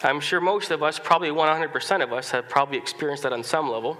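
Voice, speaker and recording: A male pastor preaching a sermon with a stuffy nose from a cold, talking conversationally with many restarts, coughs and throat-clearing. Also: on the high side, fairly even intonation, fast, pushed and bright. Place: church sanctuary. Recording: long reverb of a church hall.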